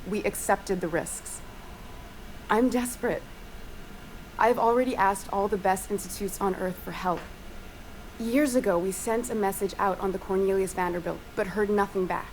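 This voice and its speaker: A woman playing an earnest, guarded research scientist in a video game, speaking in a formal, measured and articulate way. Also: mid-range voice, steady tone, a little mellow, slight background noise, natural voice, low-quality recording. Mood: guarded, worried. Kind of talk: video game dialogue acting.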